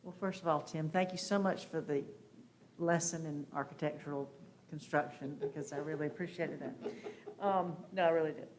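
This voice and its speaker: A woman commissioner giving deliberative comments at a public meeting, halting as she searches for her words. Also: sits low, steady tone, background noise.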